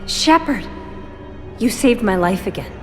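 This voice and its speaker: A woman's voice: mid-range voice, lively intonation, unhurried, faint music behind, lightly acted. A woman playing a shaken, grateful attack survivor in a video game, speaking earnestly and confidingly.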